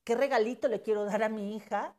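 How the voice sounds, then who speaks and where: A woman's voice: fairly high pitch, steady tone, fairly quick, dark tone. A Mexican woman in her fifties giving an emphatic, preachy motivational talk on social media, with casual swearing. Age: 50s.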